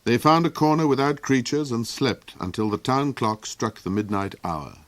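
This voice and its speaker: A man narrating a fairy tale in an unhurried, expressive storytelling manner. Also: mid-range voice, dry recording. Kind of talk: storybook reading.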